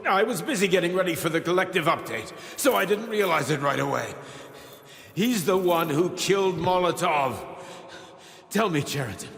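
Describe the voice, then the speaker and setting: A man's voice: sits high, expressive, moderate pace, gravelly, a bit bright, heavily acted. An actor playing an aggrieved older male scientist in a video game, accusing and pleading with strong emotion. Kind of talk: dramatic video game acting. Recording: echoey hall with music behind.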